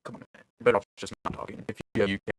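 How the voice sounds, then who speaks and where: A man's voice: lowish voice, little pitch movement, very fast, slight background noise. A young man producing music on a livestream, chatting loosely with his viewers in a rambling, casual way. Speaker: music producer streaming live.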